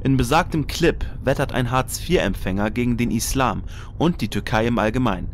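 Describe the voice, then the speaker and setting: A man's voice: mid-range voice, brisk, a little hiss or hum, lightly acted. A man playing a formal news reporter, reading a scripted parody bulletin. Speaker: newsreader.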